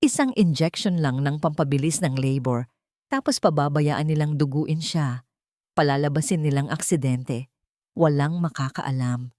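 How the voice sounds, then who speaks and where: Scheming husband, read by a female narrator, audio drama villain, low register, sweet-talking and cold, dry recording. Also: moderate pace, no echo, close to an everyday voice. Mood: calm, calculating. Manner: soft, sweet-talking, calculating. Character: scheming, coldly tender husband plotting murder.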